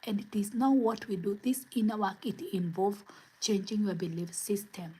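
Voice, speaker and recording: Soft-spoken woman hosting a spiritual livestream. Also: medium pitch, normal speed, breathy edge, low-quality recording. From Kenya. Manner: soft, gentle, repetitive affirmations. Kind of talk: inspirational livestream talk. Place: home, where it is late at night.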